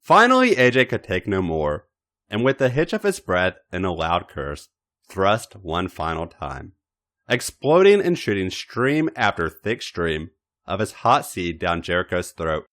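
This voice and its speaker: A man hosting a podcast and narrating erotic fiction in a theatrical, drawn-out way. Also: low-pitched, very animated, a bit bright. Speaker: podcast host and story reader.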